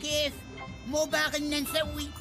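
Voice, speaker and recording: A stubborn, high-pitched old Emirati cartoon neighbor, insistent and scheming. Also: male voice, monotone, fairly quick, over music. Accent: Emirati Arabic.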